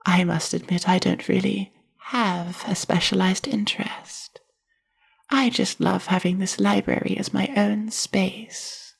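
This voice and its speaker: A very breathy, husky young monster librarian, half spider and bookish, played by a woman, speaks softly in an ASMR roleplay. Her voice is heavy with creak and moves slowly, with formal, reserved and carefully measured wording. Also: on the low side, full character voice. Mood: quiet, reserved. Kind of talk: ASMR roleplay, soft-spoken monologue to the listener.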